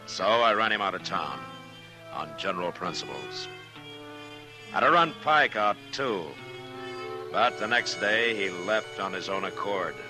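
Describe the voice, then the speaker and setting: A deep, low-voiced lawman of the Old West, laconic and plain-spoken. He sounds like 1950s radio drama, with music in the old broadcast. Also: expressive, measured pace, long pauses. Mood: grave, reflective. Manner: terse, plain-spoken, understated. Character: frontier marshal, stern, laconic, dryly wry man. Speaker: narrator and lead character. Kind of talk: 1950s radio western acting.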